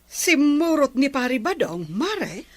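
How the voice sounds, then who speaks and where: A woman's voice: sits high, big pitch swings, a little slow, no room sound. A woman with an Ilocano accent playing a gossiping village woman in a comedy drama. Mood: gossipy.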